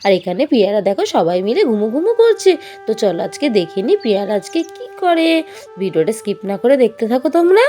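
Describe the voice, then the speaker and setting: A woman's voice: fairly high pitch, sing-song, quick pace, mellow, faint music behind. A woman hosting and narrating a children's doll-play story, addressing viewers as friends in a sing-song storytelling way. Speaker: narrator and host.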